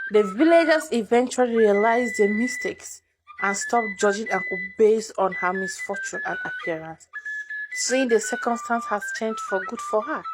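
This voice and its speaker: A woman's voice: medium pitch, rough recording. A woman narrating a scripted folktale as a storyteller.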